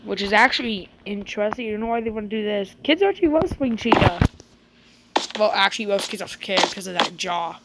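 A woman chatting in character during a casual game roleplay, breaking into excited exclamations. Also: mid-range voice, a little fast, very noisy. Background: loud game music.

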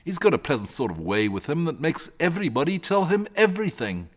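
A man's voice: a little high, very animated, fairly quick, voice pushed up. A man voicing a sensible, kindly country mother in a storybook, homely, rustic and reassuring. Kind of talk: storybook character reading.

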